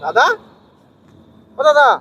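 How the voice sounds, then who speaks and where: A man's voice: takes it slowly, music in the background, natural voice. A man playing an earnest, polite passer-by in a comedy skit, deferential and hesitant yet persistently questioning. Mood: timid, coaxing. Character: polite, nosy, moralizing male passer-by, earnest and naive.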